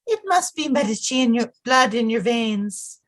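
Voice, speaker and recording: High, lilting young queen played by a woman. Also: lively intonation, normal speed. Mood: wistful, apologetic. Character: young French queen consort, devoted, deferential, wistful. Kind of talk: stage-style audio drama acting.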